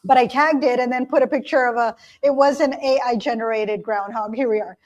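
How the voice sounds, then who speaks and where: Woman, high voice, chatty co-host, telling stories with pauses. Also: steady tone, a little mellow, highs cut off. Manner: chatty storyteller, fills pauses with uh and um. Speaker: podcast co-host. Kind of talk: casual chat.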